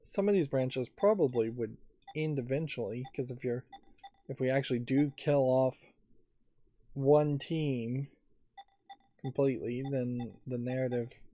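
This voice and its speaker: A man giving musing game commentary, thinking aloud in a halting way. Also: mid-range voice, warm tone, no room sound. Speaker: let's play host. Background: faint game music.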